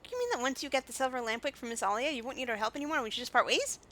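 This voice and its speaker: A woman's voice: high-pitched, animated, rapid-fire, fairly bright tone, slight background noise, voice pushed up. A woman voicing a plucky, boastful young sidekick from a fantasy game, speaking in a brash, exclaiming way. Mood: indignant, boastful. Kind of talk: fantasy game dialogue read aloud.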